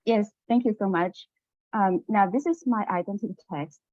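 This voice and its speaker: Chinese-native woman presenting, earnest and careful, lo-fi flat sound. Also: mid-range voice, moderate pace, no room sound. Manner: careful, earnest, with soft fillers. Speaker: graduate student presenter. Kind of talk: academic symposium presentation. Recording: dull dry lo-fi audio.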